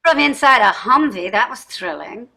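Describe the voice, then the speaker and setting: A woman acts a wealthy, sardonic wife in her sixties in a play reading. The voice is highish and forceful with a husky grain, very animated, full of theatrical exasperation and wistfulness. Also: moderate pace, heavily acted. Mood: sardonic, dismayed. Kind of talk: staged play reading over video.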